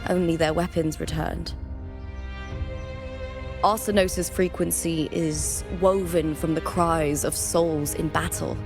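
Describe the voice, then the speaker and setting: A woman voicing a proud, warm, spirited champion warrior in a video game, with a deliberate, stately delivery. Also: on the low side, natural voice. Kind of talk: voiced game dialogue.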